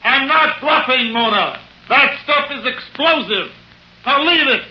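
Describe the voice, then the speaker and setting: An American actor playing a brisk, wry, hard-boiled investigator in an old radio drama, clipped and commanding. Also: male voice, extremely high pitch, animated. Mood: urgent shouting. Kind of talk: 1960s American radio detective drama acting. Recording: muffled, noisy old radio recording.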